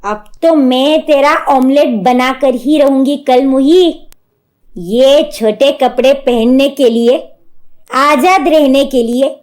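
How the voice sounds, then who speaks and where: A woman's voice: high-pitched, slow, a little mellow. A woman voicing a bossy, hot-tempered mother-in-law in a cartoon story, theatrical and scolding in manner. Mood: furious.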